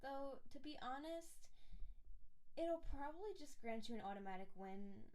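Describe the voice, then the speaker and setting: A doting yandere wife, a snow spirit acted by a woman, speaks in a fairly high feminine voice. She addresses her beloved directly in an intimate roleplay style, sweet and gushing, with a clingy, obsessive devotion. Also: animated, moderate pace, darkish tone, a little hiss or hum. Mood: eager, affectionate.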